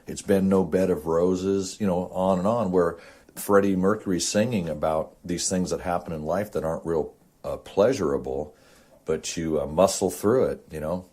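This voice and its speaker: A man's voice: sits low, dark tone. A man hosting an amateur audio show, talking to listeners in an informal, earnest, rambling explanatory monologue.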